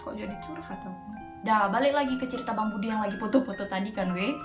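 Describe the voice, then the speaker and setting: A woman's voice: mid-range voice, quick pace. A young woman with a Medan accent, telling a mountain ghost story in a chatty, slangy way with lots of filler words. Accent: Medan Indonesian. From Medan, Indonesia. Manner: chatty, slangy, tosses in frequent filler interjections. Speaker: storyteller host. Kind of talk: casual horror storytelling. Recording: echoey room with background music.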